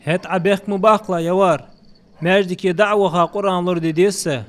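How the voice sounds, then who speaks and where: A man's voice: high voice, steady tone, quick pace, lightly acted. A man voicing a male cartoon character in a dub. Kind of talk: cartoon dubbing.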